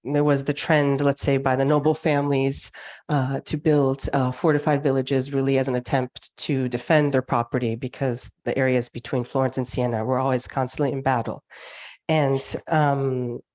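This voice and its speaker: Californian woman lecturing over a video call, low voice, lots of ums. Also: little pitch movement, normal speed, darkish tone. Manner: chatty, many ums and uhs. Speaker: winery representative giving a lecture. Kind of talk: online lecture with slides. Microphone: video call microphone.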